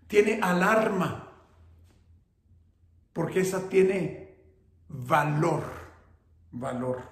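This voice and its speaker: A man in his fifties, a pastor with a northern Mexican Spanish accent, preaching slowly and emphatically from his home, repeating key words. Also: sits high. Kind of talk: sermon. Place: home living room, indoors. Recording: roomy echo.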